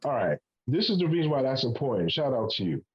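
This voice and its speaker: A man's voice: mid-range voice, big pitch swings, fast, lo-fi sound. A man in his forties hosting a podcast panel, making his point emphatically and swearing casually. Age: 40s. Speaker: podcast host.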